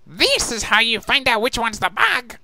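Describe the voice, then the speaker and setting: A man's voice: very high-pitched, sing-song, normal speed, sharp tone, voice pushed up, full character voice. A man voicing a sadistic male dog-creature villain in a story reading, with a taunting manner. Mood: gleeful menace.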